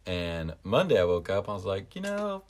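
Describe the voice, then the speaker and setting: Low-voiced young man telling a story. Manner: relaxed, drawn-out telling with many "like" fillers. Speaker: guest. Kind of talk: casual storytelling.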